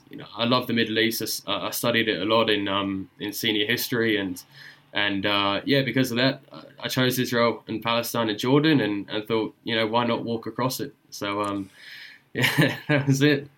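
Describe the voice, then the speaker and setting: A man's voice: fairly low pitch, fairly bright tone. A young man guest explorer telling stories in a casual interview, hesitant with frequent ums and repeated words. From born in UK, raised in Germany and Australia. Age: young adult.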